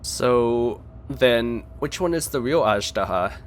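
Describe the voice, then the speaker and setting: A man's voice: medium pitch, animated, takes it slowly, slight background noise. A man giving casual gameplay commentary, talking to the game as he plays. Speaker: let's play host. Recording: game music behind the voice. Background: video game music and game audio.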